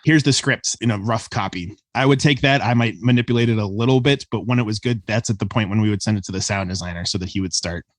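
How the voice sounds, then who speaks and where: A man's voice: lowish voice, fast, hardly any pauses, dry recording. A man talking casually as a writer being interviewed, explaining how he works. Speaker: writer, guest.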